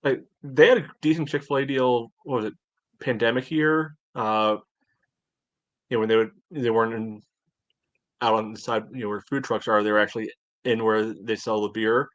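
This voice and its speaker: A man's voice: medium pitch, fairly even intonation, moderate pace, a bit bright, no room sound, highs cut off. A man in his forties chatting casually as a podcast co-host, in a hesitant, rambling way. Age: 40s. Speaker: soccer fan podcast co-host. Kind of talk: casual chat.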